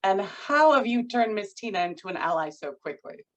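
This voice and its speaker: A woman's voice: medium pitch, very animated, moderate pace, highs cut off, natural voice. A woman at a screenplay table read playing a sardonic, disapproving lover with dry, arch, pointed delivery. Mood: arch, probing.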